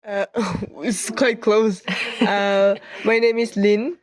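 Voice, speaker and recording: This young woman speaks slowly and haltingly, stopping often to find her words in a learned language. Her pitch sits in the middle for a woman, and she answers like a student reflecting in an interview. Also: level tone, a little mellow, no room sound. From South Korea. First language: Korean. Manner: halting, searching for words with many fillers.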